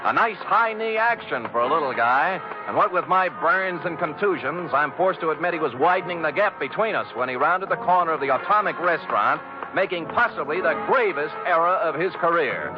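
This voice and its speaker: An actor playing a sardonic, wisecracking American private detective in a 1940s style radio drama. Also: male voice, fairly high pitch, expressive, fairly quick, music in the background, close to an everyday voice. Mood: wry narration. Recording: dull old radio recording.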